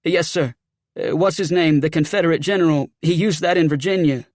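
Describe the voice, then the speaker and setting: A man's voice: a little high, fairly quick, a little mellow, no room sound, clean studio sound, pitched above the performer's own voice, natural voice. A man voicing a scared young Confederate sergeant in an audiobook, with folksy, ungrammatical, blurting speech. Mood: flustered. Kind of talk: audiobook character acting.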